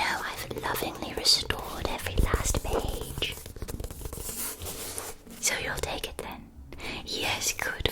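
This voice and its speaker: A woman playing a courteous, doting shopkeeper of a witch school in an ASMR roleplay, speaking in a genteel, old-fashioned way. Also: medium pitch. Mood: doting, persuasive.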